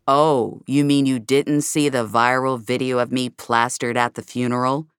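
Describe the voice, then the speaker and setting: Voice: mid-range voice, level tone, moderate pace, smooth, dry recording, clean studio sound, natural voice. A narrator voicing a bitter, apologetic male celebrity entertainer in an audio drama. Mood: bitter, rueful.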